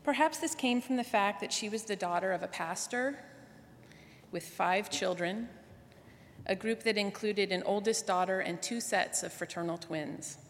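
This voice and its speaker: A woman's voice: mid-range voice, moderate pace, slight background noise. A woman delivering a prepared tribute speech to a congregation. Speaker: guest speaker at church. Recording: echoey hall with long reverb.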